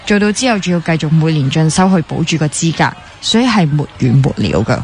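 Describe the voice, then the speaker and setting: A woman's voice: low-pitched, animated, fast talker, a little mellow, a little hiss or hum. An actress with a Hong Kong Cantonese accent playing an earnest, teasing young woman training as a doctor in a radio drama. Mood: earnest, reflective. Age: young adult. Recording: music playing behind the dialogue.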